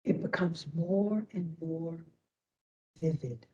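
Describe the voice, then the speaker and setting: A woman instructor leading a guided meditation in a soothing manner, with long pauses between short phrases. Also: deep voice, darkish tone, recorded from a distance, lo-fi sound.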